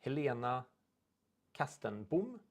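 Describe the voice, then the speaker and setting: Gravelly Swedish man chatting live. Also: mid-range voice, sing-song, slow pace. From Sweden. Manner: rambling, reads comments aloud, trips over words. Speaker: livestream host. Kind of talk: casual live chat reading viewer comments.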